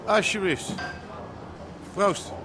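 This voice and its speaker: An actor playing a dry, teasing barman with a deadpan manner in a naturalistic radio drama. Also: high-pitched, drawn-out words, very noisy. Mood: casual. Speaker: bartender.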